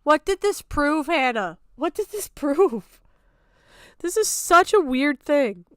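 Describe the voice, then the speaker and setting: A woman's voice: high voice, expressive, slow, fairly bright tone, no room sound. A woman streaming unscripted reaction commentary, exasperated, sarcastic and prone to swearing.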